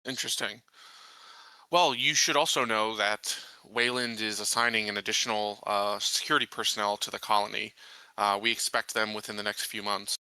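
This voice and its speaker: A man playing a formal, skeptical corporate official in a tabletop roleplay, asking probing questions in a deliberate way. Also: lowish voice, steady tone, bright, forceful tone, clean tone, no room sound, poor audio. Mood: probing, reserved.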